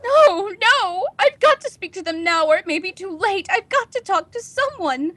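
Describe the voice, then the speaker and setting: An actress playing a nervous, imperious housewife on edge, in a melodramatic 1940s radio drama style. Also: female voice, very high voice, animated, moderate pace, fairly bright tone, dry recording. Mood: desperate, panicked. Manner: haughty, melodramatic, agitated. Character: nervous, imperious, panicking housewife alone at night.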